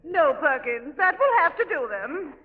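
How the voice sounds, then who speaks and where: A woman's voice: high voice, very animated, measured pace. A woman playing a flighty, imperious woman president in a 1930s radio comedy sketch, grand and exasperated in manner. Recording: noisy, tinny 1930s radio broadcast.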